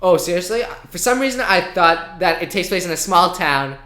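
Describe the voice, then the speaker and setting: Male podcast co-host, casual and halting, forward bright tone, echoey recording. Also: sits high, lively intonation. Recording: roomy, echoey sound.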